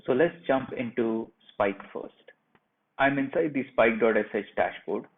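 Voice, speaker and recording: A man presenting a software tutorial, narrating each step of a screen share in a plain, instructional way. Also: fairly low pitch. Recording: muffled phone-like narrow band.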